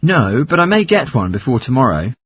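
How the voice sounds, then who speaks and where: Man playing a husky, animated male traveller. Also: medium pitch, brisk. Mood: polite, curious. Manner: polite, inquiring. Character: polite traveller asking for fare information. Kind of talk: scripted listening-test dialogue.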